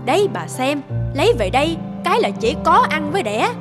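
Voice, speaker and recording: Scornful middle-aged mother-in-law for animation. High, very animated, nagging, sharp-tongued. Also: brisk, loud music behind the voice. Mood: scornful, complaining. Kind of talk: animated cartoon voice acting.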